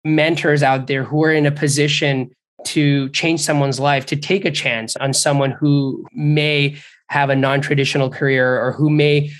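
A man's voice: fairly high pitch, little pitch movement, moderate pace. A young man from Bangladesh hosting a podcast interview, speaking earnestly and at length, appreciative of his guest. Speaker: podcast host.

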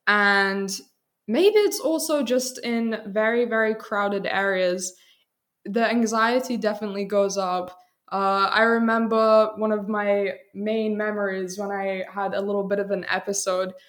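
A young adult woman, a student guest, telling personal experiences conversationally in a candid, thoughtful way. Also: mid-range voice. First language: English.

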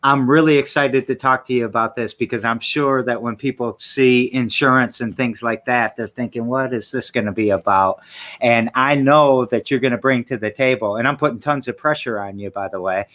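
A man's voice: medium pitch, moderate pace, a bit bright. Chatty middle-aged male podcast host. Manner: friendly, chatty, stumbling restarts. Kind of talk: casual interview.

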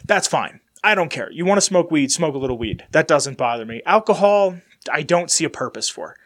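A man's voice: fairly high pitch, animated, fairly quick, a bit bright. A young man hosting his own podcast in a rambling, casual way, a little congested from a sinus infection. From United States. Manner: rambling, chatty, many fillers and restarts. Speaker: podcast host. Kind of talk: casual solo chat.

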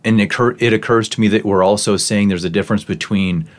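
A man hosting a podcast interview, thoughtfully paraphrasing his guest in a measured way. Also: fairly low pitch, fairly even intonation. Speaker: podcast host. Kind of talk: interview podcast, calm reflective.

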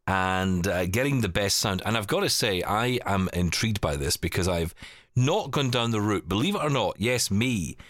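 A man hosting a tech podcast, chatting casually and telling stories with quick asides. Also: fairly low pitch, expressive, fairly bright tone, dry recording, studio quality. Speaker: podcast host. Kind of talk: casual chat.

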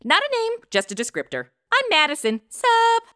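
A woman acts a brash, entitled modern princess in a high, bright voice. She is slangy and sarcastic in radio-comedy style, with wildly animated pitch. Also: moderate pace, no room sound, studio quality, heavily acted. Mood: brash, snarky. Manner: snarky, slangy. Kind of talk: comic radio play acting.

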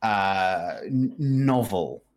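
A man hosting a podcast, explaining things casually in a rambling, halting way with asides. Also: on the low side, animated. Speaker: podcast host. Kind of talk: casual video game review chat.

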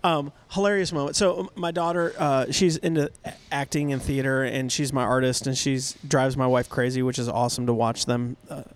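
A man's voice: mid-range voice, steady tone, brisk, hardly any pauses, a little hiss or hum. A middle-aged man from North America co-hosting a casual podcast chat, playful and jokey, often laughing and singing bits. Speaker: podcast co-host.

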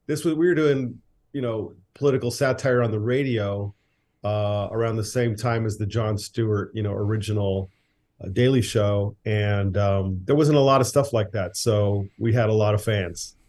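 A man's voice: fairly low pitch, a little mellow. A man, a director and writer, guesting on a podcast, easygoing, laughing often as he tells stories. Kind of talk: casual interview.

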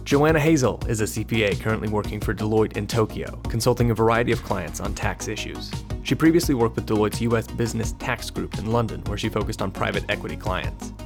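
A male announcer reads a podcast introduction quickly and smoothly in a low, gravelly voice. Clear music plays underneath throughout the read. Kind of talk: scripted intro narration. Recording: voice over music bed.

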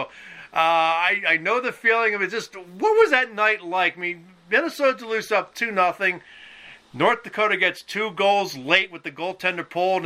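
High-voiced man hosting a sports podcast. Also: sharp tone. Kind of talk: podcast interview.

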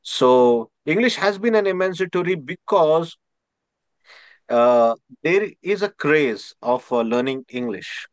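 Halting Indian-accented man, dry sound. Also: a little high, animated, no echo. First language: Marathi. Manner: halting, with restarts and fillers. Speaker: guest scholar. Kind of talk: interview answer.

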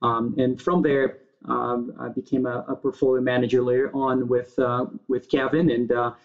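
Brazilian guy in his 30s, monotone, halting, muffled lo-fi sound. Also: medium pitch. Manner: halting, many fillers and restarts. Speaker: guest. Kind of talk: interview answers. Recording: dull lo-fi sound.

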